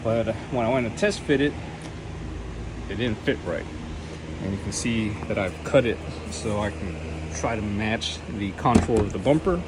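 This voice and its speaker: A man's voice: fairly low pitch, normal speed, noisy background. A man giving a casual, unscripted DIY build walkthrough in a laid-back, plain-spoken, sweary way. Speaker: host of a DIY car build channel. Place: garage workshop.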